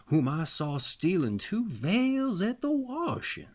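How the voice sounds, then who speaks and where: A man plays a cheerful storybook tailor, boastful and guileless. He speaks eagerly, his pitch jumping about with joy. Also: wildly swooping pitch, slow, full character voice. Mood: joyous. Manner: eager, joyous.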